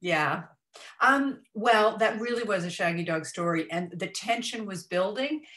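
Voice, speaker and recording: A woman's voice: medium pitch, lively intonation, a little slow, fairly bright tone. A woman on a video call giving casual, conversational feedback as a guest judge.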